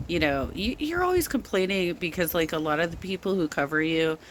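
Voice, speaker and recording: A middle-aged woman with some bass to her voice, speaking slowly in a rambling casual rant full of ums. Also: lively intonation, slight background noise. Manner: slow-spoken, rambling with many ums.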